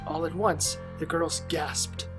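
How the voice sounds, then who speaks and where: A woman's voice: low voice, steady tone, slow pace. A woman reading scripted narration for an audio drama. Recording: music behind the voice.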